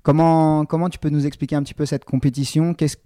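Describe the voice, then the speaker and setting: A man's voice: on the high side, flat delivery, fast, warm tone, no room sound, studio quality. A man interviewing an athlete in a casual, friendly way, asking long rambling questions with restarts.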